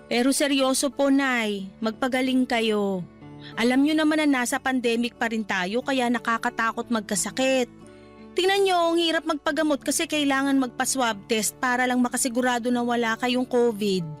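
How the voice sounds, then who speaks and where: A woman's voice: high-pitched, normal speed, faint music behind, natural voice. A woman playing a caring, cheeky middle-aged daughter who nags respectfully in a Tagalog radio drama. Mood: concerned. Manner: respectful but nagging.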